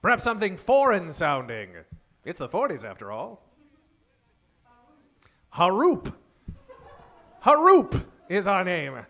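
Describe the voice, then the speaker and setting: A man's voice: sits high, huge pitch swings, slow, long pauses, bright, forceful tone. A man hosting a live comedy show from a theater stage, talking like a theatrical old-time 1940s radio emcee and projecting to the audience.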